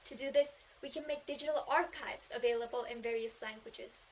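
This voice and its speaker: A woman's voice: fairly high pitch, fairly quick, slight background noise. A Korean teenage girl, a native Korean speaker, delivering an earnest memorized speech in English at a student contest, stressing key phrases. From South Korea. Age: teens.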